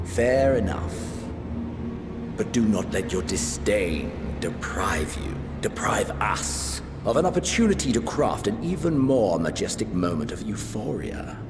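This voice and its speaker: An actor playing a menacing, cultured prince villain obsessed with battle, speaking with grandiose, formal deliberation in a video game cutscene. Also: male voice, lowish voice. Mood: brooding, intense.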